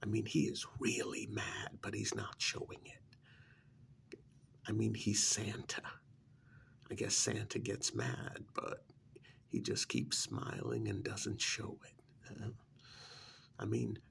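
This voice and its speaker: A man playing a weary, sheepish bearer of bad news in a soft, halting, near-whispered ASMR role-play. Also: medium pitch, gravelly, a little hiss or hum, heavily acted. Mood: sheepish, uneasy. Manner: hesitant, halting, rambling.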